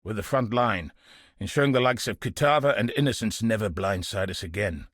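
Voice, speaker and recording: Gruff, husky one-eyed veteran soldier played by a man. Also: on the low side, fairly quick, no room sound, clean studio sound, close to an everyday voice. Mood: proud, wry. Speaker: video game character voice. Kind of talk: scripted video game dialogue.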